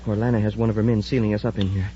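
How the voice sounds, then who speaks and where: An actor playing an eager, excitable young space cadet sidekick, in a 1950s radio serial style. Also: male voice, on the low side, very fast, natural voice. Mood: anxious, urgent. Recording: muffled, noisy old-time radio recording.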